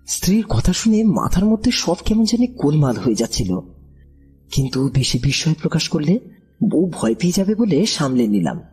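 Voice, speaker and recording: An actor playing a bewildered village trader in an audio horror drama, speaking in an anxious, hesitant, pleading way with a husky, breathy voice. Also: male voice, on the high side, sing-song, normal speed, darkish tone, heavily acted. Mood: distressed, bewildered. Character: frightened, bewildered village trader recounting his strange ordeal. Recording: background music under the voice.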